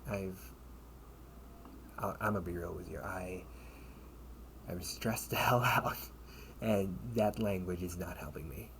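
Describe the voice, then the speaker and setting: A man playing a protective, sardonic older brother in an amateur audio roleplay, speaking in slangy, halting phrases with casual menace. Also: deep voice, creaky, fairly bright tone, exaggerated voice. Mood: stressed, threatening. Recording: noisy amateur home recording.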